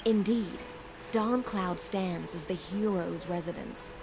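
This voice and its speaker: An actress playing a composed, enigmatic imperial envoy in a video game, with measured, deferential speech. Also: female voice, on the low side, music in the background. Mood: courteous, inviting. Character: courtly, enigmatic female envoy of an emperor, composed.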